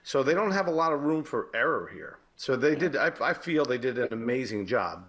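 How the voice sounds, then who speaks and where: A man with an American accent, a former police detective giving halting, explanatory commentary in a live news interview. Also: medium pitch, lively intonation, a bit bright.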